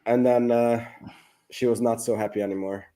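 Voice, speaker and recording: Hungarian-born man, casual stream co-host on a call, halting storyteller, mid-pitched. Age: adult father of several children. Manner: halting, restarts often, relaxed storytelling. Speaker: livestream co-host. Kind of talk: casual chat on a livestream.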